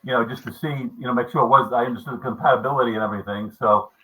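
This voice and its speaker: A man's voice: medium pitch, fairly even intonation, very fast, pushed and bright, low-quality recording. A man in his seventies chatting casually in a meeting, rambling with many restarts and fillers. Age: 70s. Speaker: maker club member. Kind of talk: casual video meeting chat.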